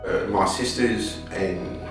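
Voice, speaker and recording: A man from Mauritius speaking slowly and plainly in an interview. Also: on the low side. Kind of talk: interview testimony. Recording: echoey room from a distance, music behind.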